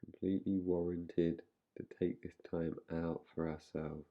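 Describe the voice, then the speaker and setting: A man guiding a relaxation meditation in an unhurried manner, leaving long pauses between phrases. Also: low voice, flat delivery, dull audio. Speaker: meditation guide.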